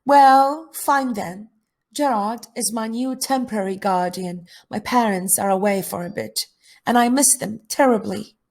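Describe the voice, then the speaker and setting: A woman's voice: mid-range voice, measured pace, darkish tone, voice pushed up. A woman reading the part of a guarded, well-bred foreign girl in a play, with formal, precise phrasing. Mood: upset, defensive.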